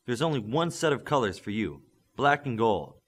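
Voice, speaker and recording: Casting: male reader as hero's mentor, instructive and commanding, lively pitch, encouraging. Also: moderate pace, breathy edge. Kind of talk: audiobook character acting.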